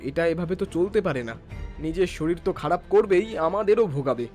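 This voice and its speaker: Cartoon younger son played by a man: mild adult husband, high-pitched for a man, music underneath. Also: lively intonation, fast, a little mellow. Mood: placating. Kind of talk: cartoon voice acting. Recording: music behind the voice.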